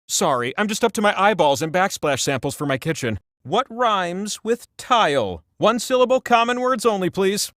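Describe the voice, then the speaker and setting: A man's voice: fairly high pitch, a bit bright, dry recording, studio quality. A man voicing a vain, playful talking wheel that hosts a comic trivia game with theatrical, mock-grand delivery and wry asides. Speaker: game show host. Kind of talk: scripted quiz hosting with comic asides.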